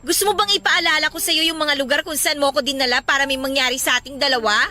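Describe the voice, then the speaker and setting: A woman's voice: high voice, fairly even intonation, quick pace, pushed and bright, a little hiss or hum. A woman playing an irritable, anxious young pregnant girlfriend in a Filipino radio drama, emotional and accusing. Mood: irritated, anxious.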